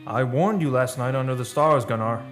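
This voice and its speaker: An actor playing a skeptical, cautioning friend steeped in old lore, speaking in folksy phrases in a radio play. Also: male voice, medium pitch, brisk, lightly acted. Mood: warning. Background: music.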